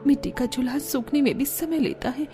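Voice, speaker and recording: A woman's voice: high-pitched, a little fast, warm tone, faint music behind, close to an everyday voice. A woman voicing an earnest, aggrieved young village wife in a cartoon. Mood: practical. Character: hard-pressed, aggrieved young village wife and daughter-in-law.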